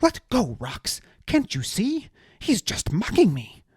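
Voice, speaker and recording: A narrator voicing a pompous, petulant man who is easily outraged and talks in shrill, indignant bursts. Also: wildly swooping pitch, slow, a little mellow, slightly rough, no echo, clean studio sound, exaggerated voice.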